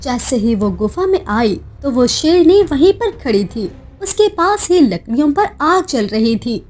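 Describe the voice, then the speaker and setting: A woman narrating a scripted animated moral story. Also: high voice, measured pace.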